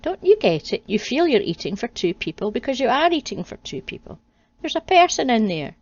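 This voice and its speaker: A woman's voice: fairly high pitch, animated, normal speed, darkish tone, natural voice. Woman doing Adam, a nervous, stammering first man. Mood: excited. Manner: hesitant, stammering when nervous. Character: bumbling first man, nervous and blunt husband. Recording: dull lo-fi recording, dry.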